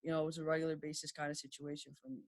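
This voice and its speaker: A woman's voice: low voice, flat delivery, very fast. Chatty laughing woman in her thirties. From New York. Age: 30s. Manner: chatty and laughing often, candid storytelling. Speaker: podcast guest. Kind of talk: casual chat.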